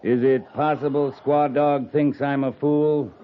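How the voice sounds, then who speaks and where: A stern army captain played by a man from a 1950s radio western, with a medium-pitched male voice. He speaks in clipped, commanding phrases and presses his point shrewdly. The old broadcast sound is narrow and lo-fi. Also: flat delivery, unhurried, close to an everyday voice. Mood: stern, challenging. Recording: muffled lo-fi 1950s radio recording.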